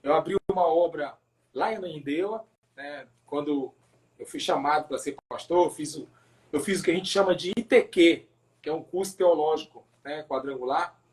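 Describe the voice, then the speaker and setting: A man from Pará with a northern Brazilian accent telling his personal testimony as a pastor in a casual interview, haltingly, repeating words and adding tag questions. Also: on the high side, poor audio. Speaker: pastor, podcast guest.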